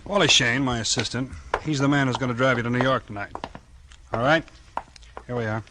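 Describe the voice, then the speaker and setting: A man with an American accent acting a steady, tough small-town police chief in a 1940s radio crime drama, plain-spoken and authoritative. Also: mid-range voice, brisk, noisy background, close to an everyday voice. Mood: brisk.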